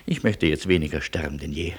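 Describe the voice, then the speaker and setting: A man's voice: very deep voice, fairly quick, very noisy. An actor playing a wry, deferential refugee man in a German radio drama, telling things politely and with some hesitation. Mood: wry, reflective. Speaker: narrator and character.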